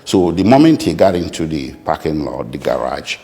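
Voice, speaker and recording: A man with a Nigerian accent answering interview questions as a lawyer, speaking deliberately and haltingly with precise detail. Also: extremely low pitch, big pitch swings, vocal fry, dark tone. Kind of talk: interview answers recounting a client's account. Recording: echoey room with long reverb.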